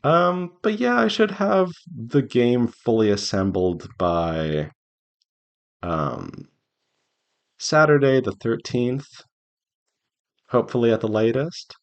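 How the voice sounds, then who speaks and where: A man giving an informal devlog update as an indie game developer, speaking haltingly as he thinks aloud. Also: mid-range voice, sing-song, creaky. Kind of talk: informal progress update to viewers.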